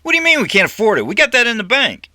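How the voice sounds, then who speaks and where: A man's voice: extremely high pitch, huge pitch swings, rapid-fire, bright, forceful tone, a little hiss or hum, pitched above the performer's own voice, heavily acted. A man voicing a brash, pushy conman who poses as a preacher, cocky and commanding in an audiobook story. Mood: indignant.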